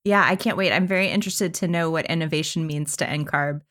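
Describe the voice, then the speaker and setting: A woman's voice: low-pitched, fast talker, fairly bright tone. A woman co-hosting a podcast, welcoming guests and asking questions in an upbeat, curious way. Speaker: podcast co-host.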